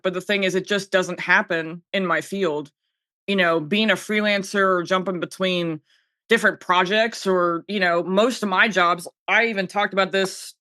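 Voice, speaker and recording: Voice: mid-range voice, sharp tone, no echo, clean studio sound. A podcaster in their thirties talking casually and candidly, animatedly voicing their own thoughts as quoted self-talk.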